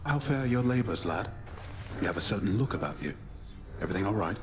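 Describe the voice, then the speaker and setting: A man voicing a grave, weary, fatherly warrior mentor in a video game, speaking solemnly and gently. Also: lowish voice, animated, a little fast, close to an everyday voice. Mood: concerned. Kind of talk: dramatic video game voice acting.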